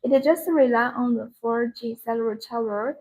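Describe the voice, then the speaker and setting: A woman with a high-pitched, creaky voice gives a live sales demonstration. She speaks English as a second language, in simple phrases that she often repeats. Her tone has a husky edge. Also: steady tone, normal speed, darkish tone, low-quality recording. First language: not English. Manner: repetitive, simple non-native phrasing. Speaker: live-stream sales presenter.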